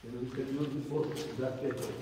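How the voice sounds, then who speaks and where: A man guiding visitors around an unfinished concrete building, talking haltingly and filling every gap with "you know". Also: mid-range voice, flat delivery. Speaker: tour guide. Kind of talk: guided walking tour. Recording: echoey, distant, noisy background.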